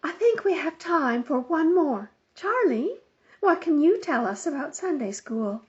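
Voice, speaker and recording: A woman voicing a prim Sunday school teacher in an audiobook, sweetly polite and measured but strained. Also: high voice, sing-song, highs cut off, voice pushed up. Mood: bright prompting.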